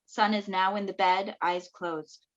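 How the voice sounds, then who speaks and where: A woman's voice: mid-range voice, steady tone, a little slow, fairly bright tone. A woman reading stage directions as scripted narration, in clipped, matter-of-fact phrases. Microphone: video call microphone. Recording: dull lo-fi video call.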